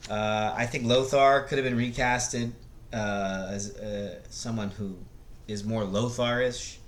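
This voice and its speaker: Male video host, lowish voice, off-the-cuff and halting, roomy echo with faint noise. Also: steady tone. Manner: halting, searching for words. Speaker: video host reviewing movies. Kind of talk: casual unscripted movie commentary. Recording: echoey room, faint background noise.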